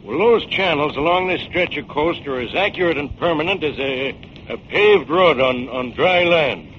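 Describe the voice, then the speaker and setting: An actor playing a folksy, obliging old sailor with homespun, rustic speech in a 1940s radio drama. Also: male voice, a little high, animated, moderate pace, lightly acted. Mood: helpful. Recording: dull, slightly noisy 1940s radio recording.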